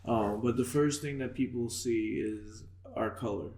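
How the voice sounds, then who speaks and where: A man's voice: mid-range voice, a little slow, darkish tone, a little hiss or hum. Man hosting a podcast in an echoey room. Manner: laughs easily, loose and conversational. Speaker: podcast host and boxing coach. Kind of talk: casual interview chat.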